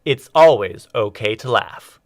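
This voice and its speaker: A man's voice: lowish voice, expressive, measured pace, no room sound. A man reading a scripted show introduction as an announcer.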